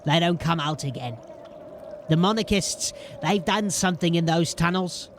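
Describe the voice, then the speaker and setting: An actor playing a tough, wary gang lieutenant in a fantasy audio drama, speaking in a guarded and ominous manner. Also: male voice, on the high side, normal speed, a little hiss or hum, lightly acted. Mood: ominous warning.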